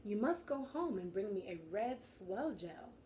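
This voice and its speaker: A gracious ant queen from a picture book, voiced by a woman. She speaks with slow, regal command in a mellow tone. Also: medium pitch, very animated, slight background noise.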